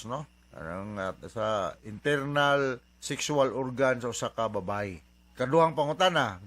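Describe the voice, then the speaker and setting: A man's voice: mid-range voice, fairly bright tone. A man with a Cebuano accent, a doctor hosting an advice program, talking informally with English mixed in and frequent questioning tags. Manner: informal, conversational, often tags phrases with questioning interjections. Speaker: radio advice host, doctor and psychiatrist. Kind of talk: radio advice talk mixing in English.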